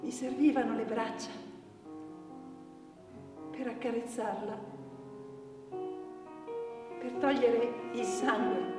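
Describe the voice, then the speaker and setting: Casting: grieving, weary mother, high voice, broken stage monologue, echoing hall with piano behind. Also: fairly even intonation. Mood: weary sorrow. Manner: slow, broken phrases with repetitions. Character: grieving mother of an assaulted daughter, anguished, weary. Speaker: stage actress. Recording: echoey hall, distant mic, piano music.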